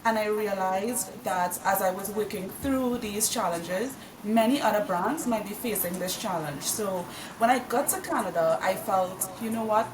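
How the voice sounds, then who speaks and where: A woman from Trinidad and Tobago, a podcast guest explaining her consulting work in a measured, earnest way. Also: medium pitch. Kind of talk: casual interview. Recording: roomy, distant mic with crowd noise.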